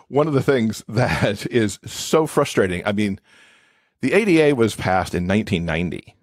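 A man's voice: lowish voice, big pitch swings, normal speed, no echo, clean studio sound. A man speaking as an expert podcast guest, explaining things in a conversational interview style.

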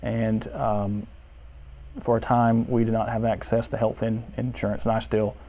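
A man in his thirties speaks an unscripted testimony, pausing often with fillers. His tone is warm and mellow, recorded close on a lapel mic indoors. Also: lowish voice, flat delivery, poor audio. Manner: hesitant, many uh fillers. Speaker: seminary professor.

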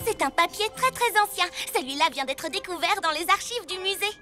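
A woman's voice: very high-pitched, brisk, soft background music, heavily acted. A woman voicing a confident, eager six-year-old girl superhero in a cartoon dub. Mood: curious, alert. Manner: eager, expressive. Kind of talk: French cartoon dubbing.

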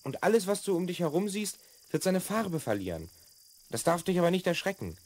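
A man's voice: high voice, animated, a little fast, darkish tone. An actor playing a confident twelve-year-old boy from the future in a children's audio drama, explaining and instructing with assurance. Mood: explanatory, assured. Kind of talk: 1970s German children's audio drama acting.

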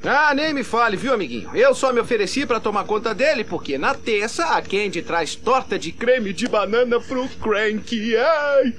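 A man's voice: very high voice, animated, moderate pace, hardly any pauses, a bit bright, a little hiss or hum. A man voicing a big, dim, good-natured gorilla in a Brazilian cartoon dub, whiny, flustered and goofy. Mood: grumbling, reluctant.

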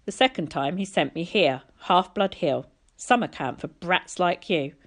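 A woman's voice: on the low side, expressive, unhurried. A woman voicing a petulant, pompous, middle-aged male wine god in a storybook reading, whiny and theatrical. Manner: pouting, theatrical, sardonic.

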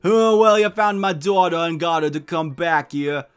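A man voicing a gruff, bossy mob boss and sentimental father in a cartoonish game, talking in blustering wiseguy slang. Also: on the high side, normal speed, lightly acted. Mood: gruff, dismissive.

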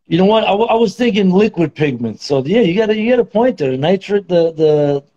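A man's voice: sits high, lively intonation, fairly quick, dark tone. A man in his thirties hosting a casual group video chat, with slangy, laid-back bro talk. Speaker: live broadcast host. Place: small home room. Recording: dull lo-fi video call audio.

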